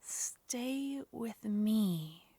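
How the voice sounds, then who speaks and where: A woman voicing a clingy, menacing yandere witch in an ASMR roleplay, speaking in a soft, coaxing whisper. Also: fairly high pitch, animated, drawn-out words, dry recording, full character voice.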